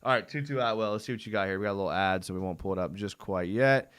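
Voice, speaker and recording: A man's voice: on the low side, quick pace, a bit bright, clear, resonant tone. A young man hosting a casual live fan show, rambling in a laid-back way with lots of fillers, his voice tired and starting to go from talking so long. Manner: laid-back, rambling, frequent "dude" and "I mean". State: voice going hoarse from long talking. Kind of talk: casual live-stream chat.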